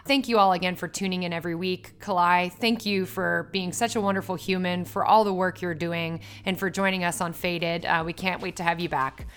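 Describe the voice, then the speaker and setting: A woman's voice: on the low side, steady tone, a little fast, hardly any pauses, clean tone. A woman hosting a podcast, upbeat and chatty, with plenty of filler words as she introduces and questions a guest. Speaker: podcast host. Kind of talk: casual podcast interview.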